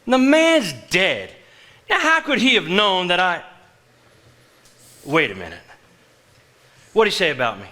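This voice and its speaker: Scheming husband: high, bright voice, greedy, indignant, sputtering bluster. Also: male voice, big pitch swings, a little slow, pauses often, exaggerated voice. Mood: suspicious, demanding. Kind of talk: live stage play acting.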